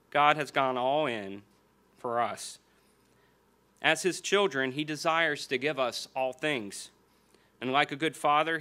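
A man in his forties with an American accent preaching a sermon and reading scripture at a lectern, slowing down and repeating key phrases for emphasis. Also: medium pitch, sharp tone. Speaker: pastor. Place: church hall stage at a lectern. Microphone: small stand mic on the lectern.

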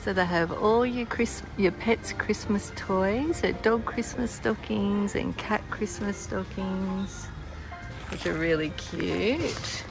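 A woman's voice: medium pitch, takes it slowly, vocal fry, over music, rough recording. An Australian woman chatting casually as she films a shopping vlog in a large store, often laughing. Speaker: vlogger. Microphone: handheld phone camera.

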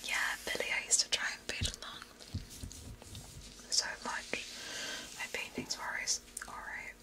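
A young woman whispering softly as she chats with viewers of her live stream. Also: a little slow. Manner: soft unvoiced whisper throughout. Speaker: ASMR creator reading live chat.

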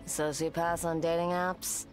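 A woman's voice: fairly low pitch, little pitch movement, a little slow, close to an everyday voice, poor audio. A woman playing a cool young butcher with a dry, sardonic manner in a video game. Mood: wry. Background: game music.

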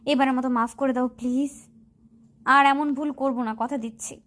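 A woman acts out a feisty young college girl for a romantic audio story. Her voice sits high, and she moves between bossy scolding and lovelorn pleading. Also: steady tone, moderate pace, lightly acted.

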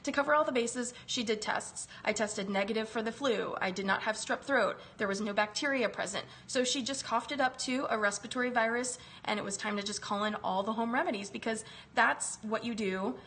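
A woman's voice: fairly high pitch, a little fast, a bit bright, slight background noise. Chatty woman live-streaming from her kitchen. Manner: chatty, talkative, greets viewers by name. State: recovering from a chest virus. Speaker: wellness live-stream host. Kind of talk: casual live-stream chat to viewers. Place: home kitchen, indoors. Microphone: phone camera close up.

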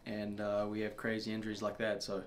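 A young man, a soccer player, answering questions at a post-match press conference in a subdued, halting way with many fillers. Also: lowish voice, monotone, slight background noise. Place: press room before a sponsor backdrop.

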